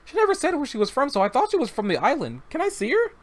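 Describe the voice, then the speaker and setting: A man's voice: extremely high pitch, huge pitch swings, fast, pitched above the performer's own voice, full character voice. A man voicing an earnest young boy who talks eagerly and rambles a bit about whales and a new friend. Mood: pleading.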